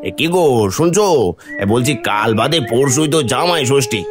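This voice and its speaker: A man's voice: medium pitch, lively intonation, normal speed, over music, lightly acted. A man voicing a humble, anxious, impoverished middle-aged father-in-law in a cartoon, earnest and pleading. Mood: worried resolve. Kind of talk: Bengali cartoon voice acting.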